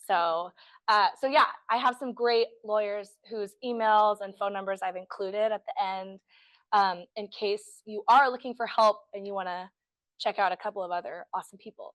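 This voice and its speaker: A woman, an estate planning attorney giving a friendly educational talk, a little hoarse from allergies. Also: medium pitch, no echo. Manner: friendly, self-deprecating, chatty with fillers. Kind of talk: educational presentation to a group. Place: meeting room.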